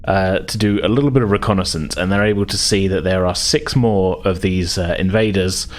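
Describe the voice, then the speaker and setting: Very low-voiced male game master. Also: steady tone, fairly quick. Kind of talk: narrating a tabletop roleplaying game.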